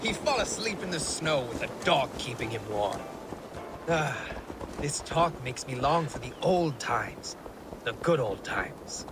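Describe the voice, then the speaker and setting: An actor playing a proud, troubled young Norse warrior leader in a video game. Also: male voice, mid-range voice, sing-song, measured pace. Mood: wistful, boastful. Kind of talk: video game character dialogue. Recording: noisy outdoor game ambience behind dialogue.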